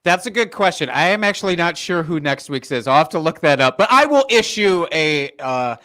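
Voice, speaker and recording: Wry man with a slightly high voice. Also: big pitch swings, fairly quick, sharp tone. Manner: laughing, wry, swears freely. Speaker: podcast co-host. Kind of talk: casual chat.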